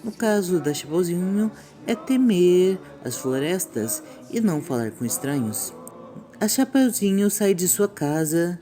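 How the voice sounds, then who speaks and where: Brazilian female host, theatrical storyteller, slightly low and very animated, for scripted horror commentary. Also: measured pace, soft background music. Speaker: YouTube host.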